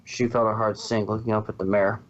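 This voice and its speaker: A man's voice: lowish voice, flat delivery, brisk, dull audio. A man reading a story aloud as its narrator. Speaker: fan fiction reader.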